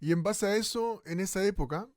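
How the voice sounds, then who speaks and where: An actor playing a radio news host who presents guests in a brisk manner. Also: male voice, sits high, darkish tone, no room sound, studio quality, close to an everyday voice. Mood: lively. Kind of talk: radio drama acting.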